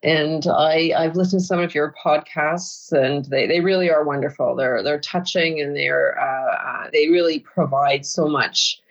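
A middle-aged guest from Canada whose first language is Ukrainian, answering interview questions in a halting way and restarting phrases while searching for words. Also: medium pitch, fairly even intonation, muffled recording. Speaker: podcast guest.

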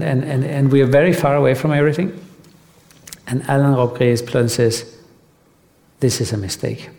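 A man from Denmark, a guest on stage, telling a rambling, animated anecdote with many restarts. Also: medium pitch, vocal fry. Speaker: guest artist. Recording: echoey hall.

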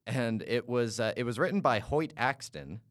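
A man from Canada hosting an interview and asking long, halting questions full of "uh"s and restarts. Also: mid-range voice, expressive, dry recording, clean studio sound. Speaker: podcast host.

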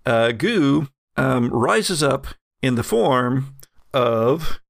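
Gravelly, laughing male game master. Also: mid-range voice, lively intonation, no echo, clean studio sound. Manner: laughs often, halting restarts. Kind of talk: narrating a tabletop game.

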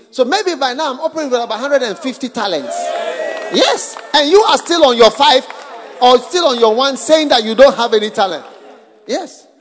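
A man with a very high voice delivers a sermon to a full hall. He speaks rhetorically, repeating phrases and building to shouts. A long reverb from the hall trails each line. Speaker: preacher.

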